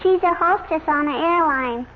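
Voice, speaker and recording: Woman playing an eager, squeaky-high little girl. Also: normal speed, phone-quality sound, close to an everyday voice. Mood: eager, chatty. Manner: childish, repeats herself with insistent verbal tags. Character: eager, pestering little girl who loves stories. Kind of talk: old-time radio comedy sketch acting. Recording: noisy, thin old radio recording.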